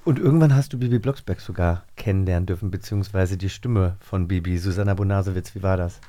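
Low, mellow man interviewing casually. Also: quick pace. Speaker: podcast co-host, interviewer.

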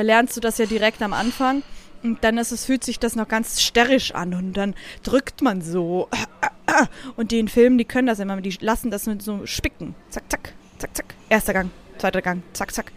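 A woman's voice: medium pitch, slight background noise. A woman co-hosting a podcast, chatting casually in a playful storytelling way. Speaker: podcast co-host and aspiring actor. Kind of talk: casual chat.

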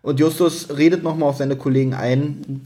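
A man's voice: on the high side, fairly even intonation, moderate pace, warm tone. A man speaking casually and conversationally as a co-host on a review podcast. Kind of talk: casual chat reviewing an audio drama.

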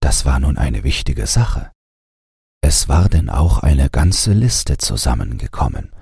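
Deep deep male narrator, soft mellow n breathy, slow bedtime story, dry close sound. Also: big pitch swings, no room sound. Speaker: audiobook narrator.

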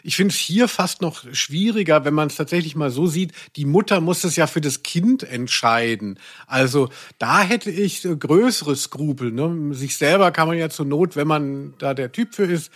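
A man's voice: on the high side, moderate pace, few pauses, fairly bright tone. A man co-hosting a casual podcast discussion in a reflective way, searching for his words. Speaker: podcast co-host.

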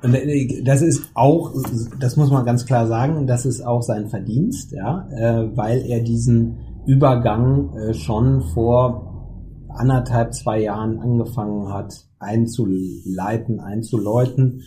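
Man, very dark mellow voice, middle pitch, casual podcast chat, halting, many fillers. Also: a little hiss or hum, low-quality recording. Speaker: committee lead of an association.

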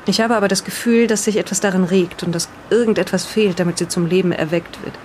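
A woman's voice: on the low side, fairly even intonation, darkish tone, close to an everyday voice. Woman playing hesitant lady reading an old journal in an audio drama, bit of background noise. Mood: absorbed reading. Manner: halting, breaks off to comment on the reading. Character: hesitant, bewildered woman reading a dead researcher's diary aloud. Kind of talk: in-character audio drama, reading a diary aloud.

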